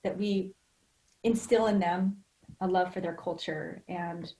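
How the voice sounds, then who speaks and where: A woman's voice: lowish voice, fairly even intonation, unhurried, dark tone, low-quality recording. A middle-aged American woman from rural Idaho, talking earnestly and reflectively in a personal, anecdotal way as a podcast guest. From rural Idaho, United States.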